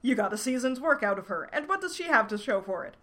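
A woman's voice: sing-song, unhurried, fairly bright tone, full character voice. A woman voicing a tiny furious male yam creature who rants indignantly. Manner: shrill, indignant, ranting.

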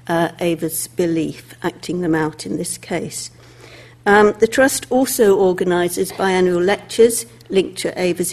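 A woman opening a public lecture on behalf of a charitable trust, speaking earnestly with some halting fillers. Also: on the low side, darkish tone. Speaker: trust representative opening the event. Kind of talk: formal opening remarks.

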